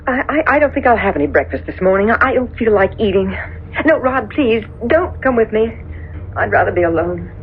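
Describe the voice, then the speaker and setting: A woman's voice: mid-range voice, lively intonation, brisk, close to an everyday voice. An actress playing a troubled, defensive young woman in a 1970s radio drama, agitated and emotive. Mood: shaken, uneasy. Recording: tinny, noisy old radio recording.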